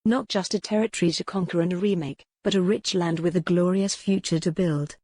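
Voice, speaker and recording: A woman's voice: fairly low pitch, fairly even intonation, fairly quick, darkish tone, no room sound, studio quality. A woman reading a historical novel as scripted, dramatic audiobook narration.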